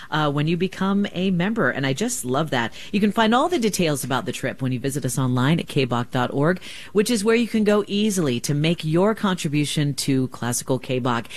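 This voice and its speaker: A woman's voice: lowish voice, dry recording. A woman radio host making a chatty, enthusiastic pledge-drive appeal from a studio microphone.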